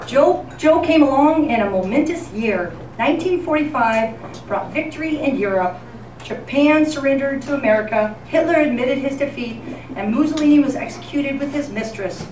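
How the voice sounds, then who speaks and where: A woman's voice: high voice, lively intonation, moderate pace, darkish tone. A woman presenting a scripted, comic tribute in a radio newscast format, with a theatrical way of reading. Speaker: event presenter. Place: live event in a senior center room. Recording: echoey, noisy room recorded from far off.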